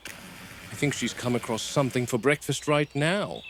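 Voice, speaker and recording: A man's voice: mid-range voice, normal speed, natural voice. A man playing a stern, suspicious game warden with a clipped, sardonic delivery in a horror film. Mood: brooding. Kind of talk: found-footage horror film acting. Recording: noisy found-footage style audio.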